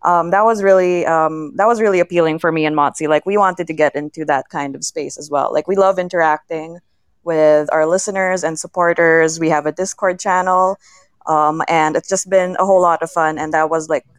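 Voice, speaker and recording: A young woman with a clear, slightly low voice in a dry, close recording. She chats earnestly and informally, peppering her sentences with "like". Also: steady tone, brisk, dry recording. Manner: earnest, frequent "like" as filler. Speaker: fiction podcast creator and guest. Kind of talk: casual group chat.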